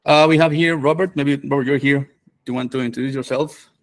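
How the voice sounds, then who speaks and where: A man, hosting a live online course on a video call, relaxed and full of fillers. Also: medium pitch, fairly quick. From Venezuela. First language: Spanish. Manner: relaxed, many fillers and restarts. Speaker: developer advocate and course host.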